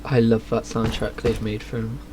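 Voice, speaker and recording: A male game streamer with a slightly low voice, commenting casually and dryly as he plays. There is faint noise and game ambience behind him. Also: level tone, normal speed. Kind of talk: live game stream commentary, reading quest text aloud.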